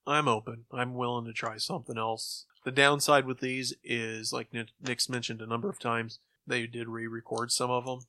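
A man's voice: mid-range voice, flat delivery, normal speed, hardly any pauses, pushed and bright, no room sound. A man co-hosting a podcast, casually reviewing stories in a slangy way and acting out imagined reactions as he talks. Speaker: podcast co-host. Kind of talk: casual review chat about old-time radio.

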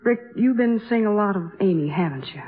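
A woman's voice: medium pitch, lively intonation, brisk, lightly acted. Tinny old-radio actress as protective, plain-spoken American woman. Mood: worried, urgent. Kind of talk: 1950s radio drama acting.

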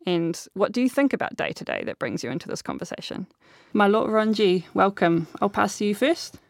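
A woman, moderating a panel discussion in a deliberate, articulate way. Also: mid-range voice, big pitch swings, no room sound, clean studio sound.

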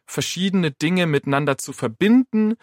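A man's voice: a little high, animated, normal speed, no room sound, clean studio sound. A man explains things in casual conversation as an expert, sometimes searching for his words. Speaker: AI writing expert and guest. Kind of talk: explanatory casual studio chat.

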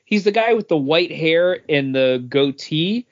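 A man's voice: on the high side, animated, unhurried. A man co-hosting a podcast, casually critiquing a comic and pointing out details patiently. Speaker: podcast co-host. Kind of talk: casual comic review chat. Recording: dull lo-fi podcast audio.

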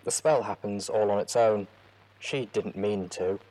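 A man voicing a worried young mare writing to a friend, read in a soft, hushed voice. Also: on the low side, unhurried, natural voice, low-quality recording. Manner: soft, hushed letter reading.

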